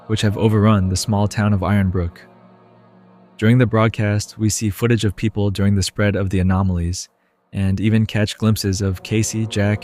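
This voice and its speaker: A man narrating a horror series recap in an even, measured delivery. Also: lowish voice.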